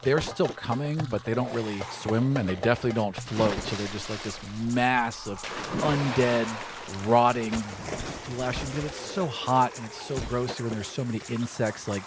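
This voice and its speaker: A man running a tabletop game as dungeon master, narrating scenes in a relaxed, wry way. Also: medium pitch, moderate pace, very noisy. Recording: music bed under the voice.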